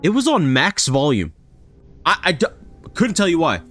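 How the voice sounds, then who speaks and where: Man rambling casually over loud music. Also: fairly high pitch, huge pitch swings, moderate pace. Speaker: reaction video creator. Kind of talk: casual reaction and theorizing commentary. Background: background music from games.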